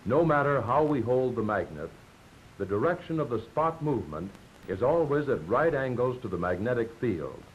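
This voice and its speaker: An American man delivers scripted 1940s training film narration with lively, varied intonation. He explains things in a measured, didactic manner. The old film soundtrack sounds dull and lo-fi, with a faint layer of noise. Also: medium pitch.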